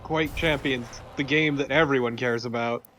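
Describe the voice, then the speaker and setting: A man co-hosts a let's play in a medium-pitched voice. He chats casually, blunt and dry, swearing freely. Faint game noise sits behind him. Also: steady tone, normal speed, a bit bright, poor audio. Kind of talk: casual gameplay chat.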